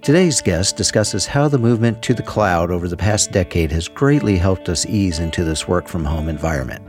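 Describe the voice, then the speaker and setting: A man's voice: low-pitched, lively intonation, brisk, a little mellow, faint music behind. A man hosting a podcast in a conversational way, asking long questions made of several parts. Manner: conversational, asks long multi-part questions. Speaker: podcast host. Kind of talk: interview hosting.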